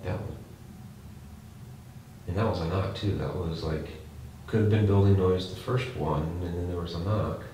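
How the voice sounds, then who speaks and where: Low-voiced man in an echoey room. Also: steady tone, moderate pace, pauses often, mellow. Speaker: paranormal investigator and host. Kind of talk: casual narration and calling out to spirits during a live investigation. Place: indoors in an old house. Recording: very echoey room, distant mic, faint noise.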